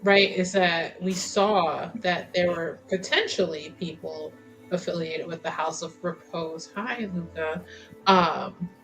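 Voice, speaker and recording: A woman's voice: fairly low pitch, steady tone, slow pace, a bit bright, soft background music, poor audio. A woman chatting casually as a player at a tabletop roleplaying game, hesitant and rambling as she thinks aloud.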